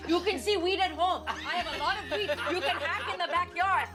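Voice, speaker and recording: A woman at a tabletop game session, scolding and joking in quick back-and-forth, often laughing as she talks. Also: very high-pitched, over loud music, over a noisy crowd. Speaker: player voicing an auntie character. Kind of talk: tabletop roleplay banter.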